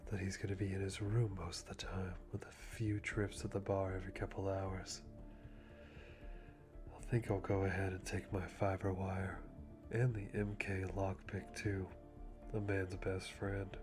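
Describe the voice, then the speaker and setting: A man playing a calm, methodical hitman, musing to himself softly and deliberately with dry amusement in an ASMR roleplay. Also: deep voice, natural voice. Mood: calm, calculating. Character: calm, methodical male hitman planning a kill. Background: music.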